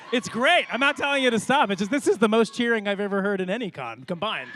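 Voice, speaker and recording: Male panelist, high bright voice, jokey and emphatic, live convention PA with some background noise. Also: expressive, brisk. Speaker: voice actor panelist. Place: large convention panel hall. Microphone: stage microphone. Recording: noisy live convention hall PA.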